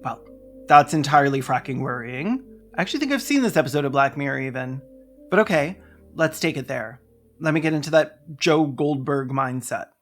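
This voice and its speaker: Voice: medium pitch, animated, moderate pace. Sardonic video essayist over music. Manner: sardonic, theatrical, dry joking asides. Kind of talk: scripted comedic commentary narration.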